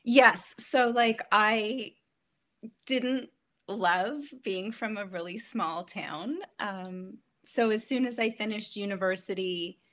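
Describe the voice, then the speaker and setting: A woman's voice: mid-range voice, slow, fairly bright tone. A woman in her thirties from Ontario, Canada, a guest actress chatting in an interview, telling stories and laughing often. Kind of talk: casual interview chat.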